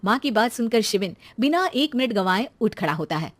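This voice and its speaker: A woman narrating a scripted cartoon story in an animated storytelling style. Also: fairly high pitch, a little fast, no echo, clean studio sound.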